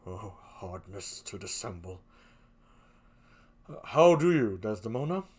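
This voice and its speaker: A reader voicing Othello as a low, brooding, jealous male general, speaking slowly and heavily in a play read aloud. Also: very animated, darkish tone, husky.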